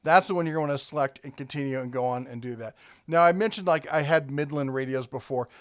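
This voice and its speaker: A man's voice: medium pitch, fast talker, clean tone, dry recording, studio quality. A man hosting a casual product review, talking conversationally and thinking aloud as he rambles along.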